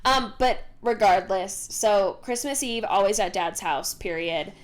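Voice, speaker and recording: A young woman co-hosts a casual podcast, recalling family traditions. She talks in an easygoing, chatty way with plenty of "um"s and "like"s, at a moderate pitch. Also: measured pace. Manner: chatty, relaxed storytelling with many fillers. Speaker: podcast co-host. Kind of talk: casual chat.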